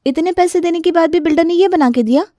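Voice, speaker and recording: A woman voicing a young daughter-in-law, gossipy and prone to complaint, in an animated family story. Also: very high-pitched, fast, no room sound, studio quality, pitched above the performer's own voice. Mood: indignant.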